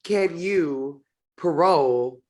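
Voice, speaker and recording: A woman with a stuffy nose from a cold, reviewing a TV episode in casual chat, expressive and opinionated. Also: low-pitched, very slow. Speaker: host of a TV show review channel.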